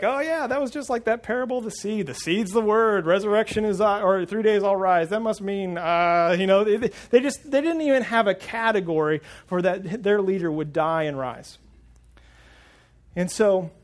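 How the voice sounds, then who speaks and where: High-voiced man preaching earnestly. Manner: earnest, repeats words when starting a thought. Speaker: pastor. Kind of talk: sermon. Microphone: wireless lapel mic pack.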